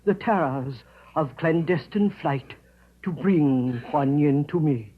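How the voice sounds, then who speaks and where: An actor playing a suave, formal Chinese male dealer in treasures, with ornate, flattering speech, in old-time radio mystery style. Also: on the high side, slow, phone-quality sound, slight background noise. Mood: grandiose. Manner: ornate, courtly, ceremonious. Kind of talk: 1940s-50s radio mystery acting.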